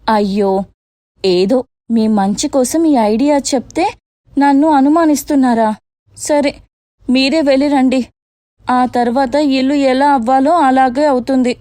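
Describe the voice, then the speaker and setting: Woman playing a crafty, high-voiced young daughter-in-law. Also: measured pace, long pauses, warm tone, no echo, clean studio sound. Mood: mock hurt. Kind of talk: Telugu moral-story cartoon dubbing.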